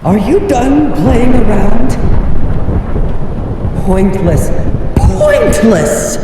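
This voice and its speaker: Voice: wildly swooping pitch, very slow, heavily acted. A performer voicing a furious, contemptuous immortal being in a dramatic webcomic dub. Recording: distant, heavily echoing, noisy storm-like rumble.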